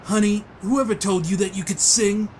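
A man's voice: high voice, steady tone, normal speed, darkish tone, slight background noise, natural voice. A man acting a cutting, dismissive club boss in a comic-book dub. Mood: scornful. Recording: music with guitar behind the voice.

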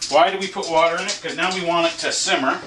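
A man's voice: a little high, fast talker. A middle-aged man casually talking through a home cooking demo, joking and bantering offhand. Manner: joking, offhand, bickers playfully. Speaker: trucker vlogger cooking at home. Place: small home kitchen. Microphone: camera across the room. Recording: echoey kitchen with cooking noise.

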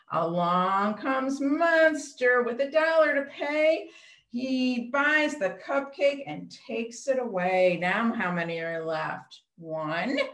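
An older woman, a children's librarian, reading aloud and counting along in simple language aimed at young children over a video call. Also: fairly high pitch. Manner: simple, child-directed, asks counting questions. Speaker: children's librarian hosting storytime. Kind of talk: children's storytime read-aloud and counting rhymes. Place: small room at home, indoors. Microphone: computer mic on a video call. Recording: roomy, echoey home video-call audio.

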